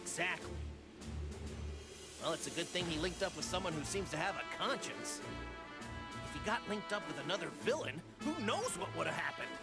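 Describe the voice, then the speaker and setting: A man's voice: fairly high pitch, sing-song, normal speed, long pauses. A man playing an earnest, sensible and wary young hero in an anime fighting game, questioning in manner. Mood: puzzled, dry. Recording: loud music behind the voice.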